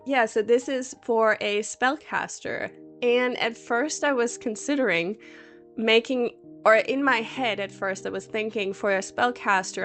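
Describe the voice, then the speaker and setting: A woman, around her thirties, with a hoarse voice after a cold, chatting casually and rambling with many fillers over a video game build. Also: mid-range voice, fairly bright tone. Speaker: Sims builder and YouTuber.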